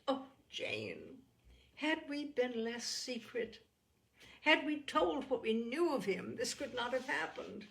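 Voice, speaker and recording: A woman's voice: a little high, big pitch swings, slow pace, gravelly, a bit bright, pitched above the performer's own voice, full character voice. Emphatic, passionate young woman played by a reader. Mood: distressed, regretful. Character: indignant, passionate, caring young woman, elder-minded sister. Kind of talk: storybook character reading.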